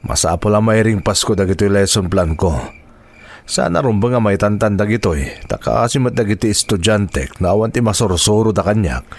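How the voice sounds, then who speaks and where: A devoted schoolteacher husband in a radio drama. His voice sits fairly low and sounds earnest and dutiful, recorded close and dry. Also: brisk, no echo. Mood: tired, earnest. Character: dedicated, self-sacrificing schoolteacher husband working late at night.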